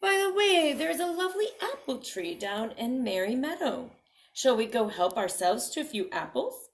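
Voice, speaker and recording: A woman voicing a hungry, sly male wolf in a children's storybook, loud, coaxing and falsely charming. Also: high-pitched, big pitch swings, normal speed. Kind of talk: children's storybook read-aloud.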